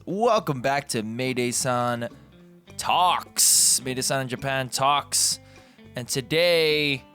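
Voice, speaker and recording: A man's voice: mid-range voice, fairly bright tone. The kind of Canadian guy heard on a laid-back commentary channel, wandering off on tangents over a bed of guitar music. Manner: informal, digressive, self-correcting. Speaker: video host. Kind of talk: casual rambling commentary.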